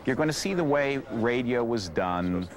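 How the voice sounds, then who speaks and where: A man's voice: medium pitch, lively intonation, moderate pace, crowd noise. A man talking casually as an interviewed cast member.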